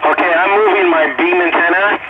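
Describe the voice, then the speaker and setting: A man's voice: high-pitched, steady tone, brisk, narrow, tinny audio. American man on a very noisy CB radio. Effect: CB radio transmission. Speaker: CB radio operator. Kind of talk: casual radio exchange. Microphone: CB radio microphone. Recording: very noisy, static-laden CB radio transmission.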